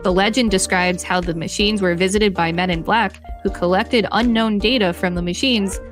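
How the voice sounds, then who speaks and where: A woman's voice: mid-range voice, normal speed, soft background music. A woman co-hosting a podcast, reading an article aloud in an upbeat way with some banter. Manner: upbeat, reads lists excitedly.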